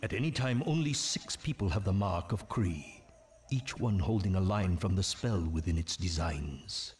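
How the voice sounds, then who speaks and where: A very low-voiced, grave storyteller of dark legends for a fantasy video game. He delivers each phrase with portentous, theatrical weight, and music plays prominently behind him. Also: lively intonation, normal speed. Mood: dark, solemn. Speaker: narrator. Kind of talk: dramatic scripted fantasy game narration.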